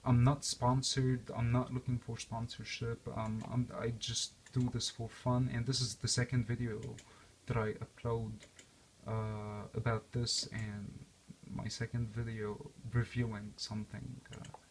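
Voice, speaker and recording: A man's voice: mid-range voice, flat delivery, a little slow, a little hiss or hum. A young man with a foreign accent casually reviewing a game console, rambling with lots of fillers and restarts. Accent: non-native English accent. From born and raised outside the US. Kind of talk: casual unscripted walkthrough.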